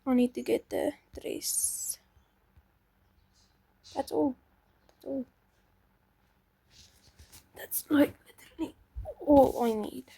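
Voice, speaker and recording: A woman's voice: sits high, slow, vocal fry, pauses often, warm tone, no echo. A young woman chatting casually to herself while playing a game, self-doubting and talkative. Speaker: gaming channel host. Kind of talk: casual gameplay commentary.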